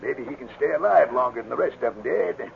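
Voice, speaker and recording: An actor playing a taunting frontier villain with a sly, mock-polite manner in a 1940s radio drama. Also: male voice, medium pitch, animated, fairly quick, vocal fry, slight background noise. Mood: taunting, gleeful. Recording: tinny phone-like old radio recording.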